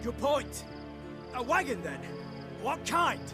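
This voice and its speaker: A guard captain from a fantasy game, commanding but anxious. His voice rides very high for a man, with slow, formal, emphatic phrasing. Music plays clearly behind him. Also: sing-song, heavily acted. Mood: tense bargaining. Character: embattled male guard captain, commanding yet anxious negotiator. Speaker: character actor in a fantasy video game. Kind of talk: cinematic game dialogue.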